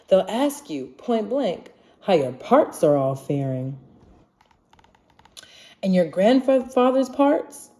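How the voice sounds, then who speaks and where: Slow, animated woman reading a storybook. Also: lowish voice. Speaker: children's book reader. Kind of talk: storybook read-aloud, rhyming narration.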